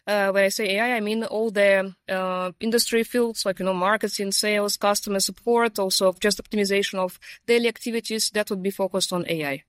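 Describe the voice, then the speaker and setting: A woman with a middle-pitched voice answers interview questions about her work, friendly and easygoing, pausing on "um" and "uh" as she thinks. The recording is close and dry. She is speaking on a rooftop at a conference. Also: no room sound. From Ukraine. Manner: friendly, pauses with fillers, laughs easily. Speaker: sales team lead, interview guest.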